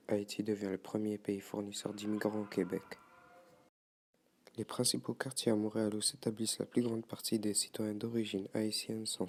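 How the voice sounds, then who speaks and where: A man reading scripted documentary narration aloud in an even, read-out delivery. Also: fairly low pitch.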